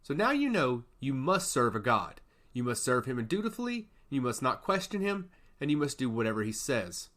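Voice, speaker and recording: A stern god of intellect, as a male narrator plays him, lays down the law with sweeping, animated pitch. His delivery is solemn and emphatic, with a sly edge, in the manner of a fantasy audiobook. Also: moderate pace. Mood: stern, lecturing. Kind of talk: fantasy audiobook character acting.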